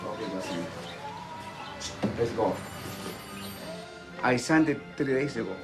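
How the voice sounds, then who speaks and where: A man's voice: mid-range voice, takes it slowly, over loud music. A middle-aged man from Ecuador, making friendly small talk as a hairdresser with a client in a small salon. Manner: friendly small talk, often agreeing and repeating. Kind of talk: casual chat. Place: small hair salon indoors.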